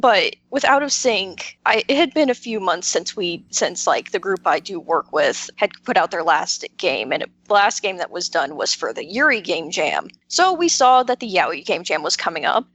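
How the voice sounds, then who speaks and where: A woman's voice: lowish voice, animated, moderate pace, few pauses, a bit bright. A woman chatting casually as a podcast panelist, explaining things informally and often interrupting herself. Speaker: podcast roundtable panelist, visual novel maker. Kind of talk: casual chat.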